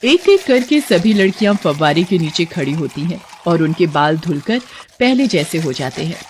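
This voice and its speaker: A woman's voice: fairly low pitch, big pitch swings, normal speed, darkish tone, a little hiss or hum. A woman narrator reading a scripted fairy tale in storybook style.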